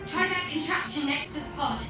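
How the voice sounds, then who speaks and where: Actress as panicked woman stuck in a pod, video game, begging, super echoey, loud music under it. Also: sits high, fairly quick, close to an everyday voice. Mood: frantic, pleading. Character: desperate trapped woman, frantic and pleading. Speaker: game character. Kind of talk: dramatic video game acting. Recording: muffled, very echoey, distant.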